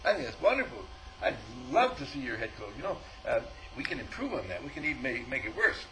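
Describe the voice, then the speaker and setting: A man with a mid-pitched voice gives a casual spiritual talk. He acts out both sides of conversations, often chuckling. A faint hiss runs under the recording. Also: quick pace, low-quality recording. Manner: animated storyteller, acts out dialogue, chuckles often. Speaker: spiritual teacher.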